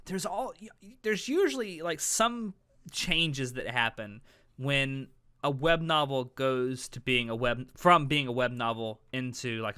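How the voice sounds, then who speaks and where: A male podcast host with a bright, crisp voice at a middle pitch, recorded close and dry. He talks casually and ramblingly, with a jokey streak. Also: no echo.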